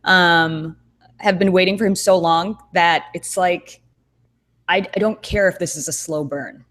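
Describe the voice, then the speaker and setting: A woman's voice: on the low side, steady tone, a little slow, a bit bright. A woman co-hosting a casual podcast chat over a video call, talking in a thoughtful, rambling way with many fillers and restarts. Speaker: co-host.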